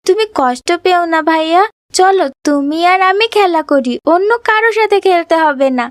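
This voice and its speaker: A woman's voice: extremely high pitch, normal speed, no echo, studio quality. A woman voicing a devoted little sister, a young girl with a tender, pleading manner, in a children's cartoon. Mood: caring. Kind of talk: children's moral cartoon voice acting.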